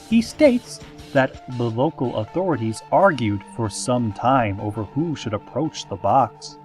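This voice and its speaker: A man playing a calm, eerie small-town radio announcer, reading scripted parody news in a measured, theatrical, deadpan way. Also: mid-range voice. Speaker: radio host in a parody podcast.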